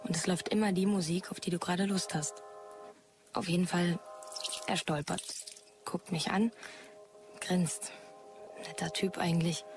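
A woman acts a young woman in a science fiction audio drama. Her voice is on the low side, casual and conversational with slight hesitation. Music plays clearly behind her. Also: steady tone, moderate pace, long pauses, lightly acted. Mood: relaxed. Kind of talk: sci-fi audio drama acting.

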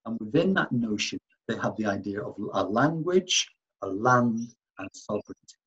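Hesitant man, husky and muffled. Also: fairly low pitch, animated, normal speed, a bit bright. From Wales. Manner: hesitant, many restarts and fillers. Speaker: artist. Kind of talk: artist talk over slides. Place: voice-over for a slide presentation.

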